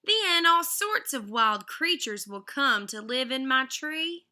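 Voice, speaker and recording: An eager, dreamy little storybook girl, played by a woman reader, bubbling over with big ideas. The voice is high and bright, and she takes her words slowly. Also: heavily acted. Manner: excitable, gushing. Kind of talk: children's storybook character reading.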